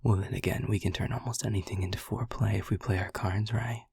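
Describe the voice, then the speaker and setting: A man playing a breathy, flirty incubus, low and slow. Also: fairly even intonation, a little mellow, no room sound, clean studio sound, heavily acted. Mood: flirty, playful. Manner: teasing, seductive, breathy. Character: flirty, protective male incubus, smooth and cocky. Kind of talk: scripted audio roleplay.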